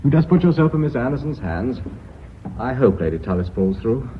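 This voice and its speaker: A man acting in a radio drama as a brisk, decisive businessman in charge of an embattled firm. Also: mid-range voice, very animated, close to an everyday voice. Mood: concerned, brisk. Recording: muffled lo-fi radio recording, faint hiss.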